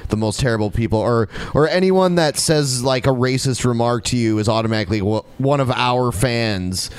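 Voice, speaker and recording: A man's voice: medium pitch, normal speed, clear, resonant tone, no room sound. A man hosting a podcast, arguing his points in casual banter full of hesitant fillers. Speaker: podcast host.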